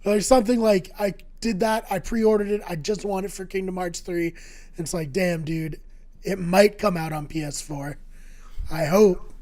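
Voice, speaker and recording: A man co-hosting a casual video game podcast, his voice going hoarse, talking in an upbeat, jokey way with rambling anecdotes. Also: sits high, steady tone, normal speed, a little mellow. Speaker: podcast co-host. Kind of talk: casual chat.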